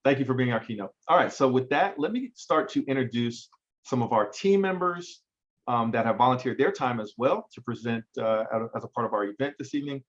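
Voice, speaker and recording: Male virtual event host, quick and courteous, slightly lo-fi sound. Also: medium pitch, animated.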